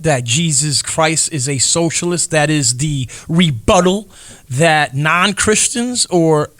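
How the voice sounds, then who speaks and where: A man co-hosting a faith podcast, explaining in a measured way with firm opinions. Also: a little high, fairly bright tone. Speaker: podcast co-host.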